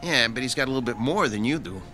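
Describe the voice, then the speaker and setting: A man's voice: medium pitch, animated, fast, bright, forceful tone, faint music behind. An actor playing a hungry, grumbling male mechanic sidekick with a whiny, joking way of talking in a radio drama. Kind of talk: 1960s-style radio drama acting.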